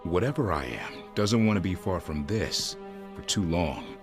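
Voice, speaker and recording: A very low-voiced game creature made of ancient relics. It speaks slowly and thoughtfully with swooping, expressive intonation, over a music bed. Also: male voice. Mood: pensive, puzzled. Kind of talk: video game cutscene acting.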